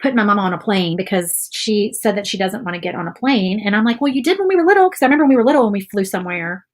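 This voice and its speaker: A woman's voice: medium pitch, animated, rapid-fire. Chatty Southern woman crafting on camera. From Virginia, USA. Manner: chatty, friendly, thinks aloud while working. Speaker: craft vlogger. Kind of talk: casual chat while painting, reading live comments. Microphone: phone or camera close by.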